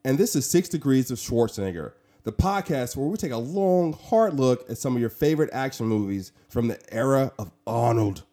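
A man's voice: mid-range voice, expressive, moderate pace, hardly any pauses, mellow. A man co-hosting a podcast, giving casual, conversational film commentary in a roomy, echoey space. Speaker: podcast co-host. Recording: roomy, echoey room sound.

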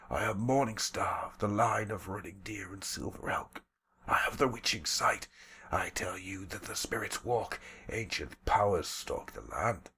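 A man voicing an old male shaman who speaks in a breathy whisper. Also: fairly low pitch, measured pace, sharp tone, exaggerated voice. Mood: prophetic. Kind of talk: audiobook character voice.

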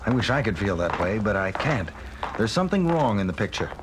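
An actor playing a decisive, wary moonbase commander in an audio drama, with a brisk, authoritative manner. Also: male voice, on the low side, big pitch swings, noisy background. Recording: loud music and effects behind.

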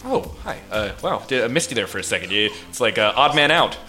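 A man's voice: medium pitch, expressive, fast talker, bright, forceful tone, faint music behind. A man playing a chatty, polite, hesitant bar server in an improvised radio comedy. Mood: polite, flustered.

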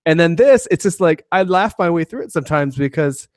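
A man's voice: a little high, expressive, fairly quick, a little mellow, dry recording, studio quality. A man co-hosting a casual chat about a video he is watching. He laughs often and rambles in an amused way. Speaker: co-host.

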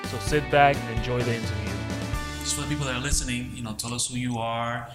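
A man hosting a podcast, giving introductions and asking interview questions in a measured, sincere way. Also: medium pitch, fairly even intonation. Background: music.

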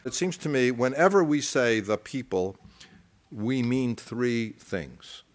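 A man giving an academic lecture, halting and discursive, circling back with stammered restarts and putting deliberate stress on key phrases. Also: medium pitch.

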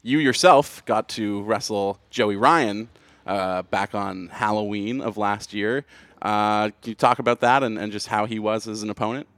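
A man's voice: lowish voice, steady tone, normal speed, fairly bright tone, no room sound. A man co-hosting a wrestling podcast, asking guests questions in a chatty, joking way. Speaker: podcast co-host. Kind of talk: casual podcast interview.

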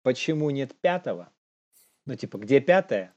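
A man's voice: mid-range voice, sing-song, normal speed, a little mellow, dull audio. A young man co-hosting a casual news chat in an informal, slangy manner, often chuckling as he talks. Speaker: podcast co-host.

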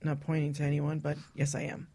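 A woman's voice: low voice, monotone, brisk, gravelly, mellow, slightly rough. A woman co-hosting a radio talk show, speaking frankly and casually in a group chat. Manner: frank, explicit.

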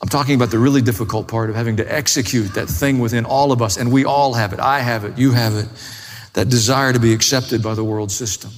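A man's voice: medium pitch, fairly even intonation, quick pace. A man preaching a sermon in an emphatic, plain-spoken way, stressing points with short repeated phrases. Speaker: pastor, preacher. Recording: long reverberant hall, faint music.

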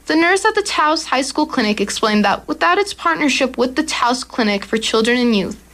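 A woman's voice: sits high, lively intonation, normal speed, a bit bright. Teenage girl reading a radio commentary. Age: teens. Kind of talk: read commentary.

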